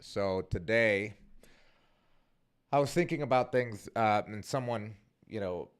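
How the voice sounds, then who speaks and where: A man's voice: lowish voice, slow, clean tone. A man in his forties hosting his own vlog, talking casually and rambling with many fillers and restarts. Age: 40s. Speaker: vlogger and podcast host.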